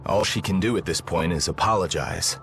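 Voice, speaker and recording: A man's voice: sits low, a little fast, background noise. A man voicing an earnest, courteous grown man in an anime game, with a polite, sincere manner. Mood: pleading, sincere. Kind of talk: anime game dub acting. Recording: music behind.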